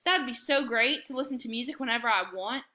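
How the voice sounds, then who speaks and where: A woman's voice: on the high side, brisk, sharp tone. A woman reading the part of an enterprising young boy in a storybook, eager and a bit sarcastic. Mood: eager, scheming.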